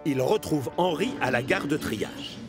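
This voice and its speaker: A man narrating a children's cartoon as an expressive storyteller. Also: fairly high pitch, a little slow, music in the background.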